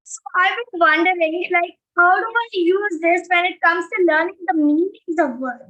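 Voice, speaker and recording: A woman's voice: very high-pitched, moderate pace, a bit bright, highs cut off. A woman from Karnataka, India, hesitantly asking her teacher a question over a video call, using fillers like "um" and "like.". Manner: hesitant, uses "like" and "um".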